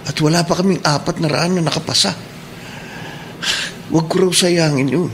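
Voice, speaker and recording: A man's voice: fairly high pitch, fairly even intonation, normal speed, fairly bright tone, a little hiss or hum. A man acting an earnest young police cadet with a Filipino accent in a radio drama monologue. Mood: weary resolve.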